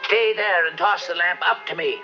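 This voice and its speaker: A man's voice: high voice, moderate pace, pushed and bright. A man voicing an imperious, scheming evil sorcerer in a 1970s cartoon dub, with grand, menacing delivery. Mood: demanding. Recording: dull lo-fi old TV soundtrack, music behind.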